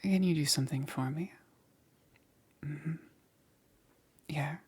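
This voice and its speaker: A woman playing a gentle witch caring for a stranger in a soothing ASMR roleplay, breathy and often dropping into a whisper. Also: low-pitched, heavily acted. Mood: gentle, attentive. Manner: unhurried, soothing, checking in with short murmurs. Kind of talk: soft-spoken ASMR roleplay.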